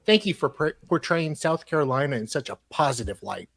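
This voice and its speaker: A man's voice: on the high side, expressive, brisk, gravelly, fairly bright tone. A man co-hosting a live show, reading emails aloud as a dramatic reading with casual asides.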